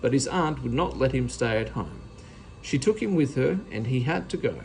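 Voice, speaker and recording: A man's voice: mid-range voice, lively intonation, normal speed, creaky, darkish tone, a little hiss or hum, poor audio. A man reading a children's storybook aloud as narrator. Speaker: story reader.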